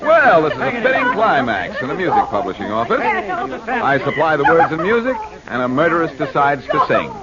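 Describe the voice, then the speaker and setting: An actor playing a confident, wisecracking amateur detective in a 1940s radio drama, speaking in a glib, theatrical way. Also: male voice, on the high side, wildly swooping pitch, normal speed, over a noisy crowd. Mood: smug. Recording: muffled, noisy 1940s radio recording.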